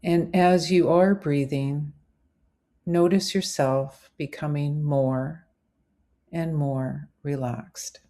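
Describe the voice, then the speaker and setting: Woman doing guided meditation narration, really slow and deliberate, relaxed dark-ish voice. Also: sits low. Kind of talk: guided visualization, soothing scripted narration.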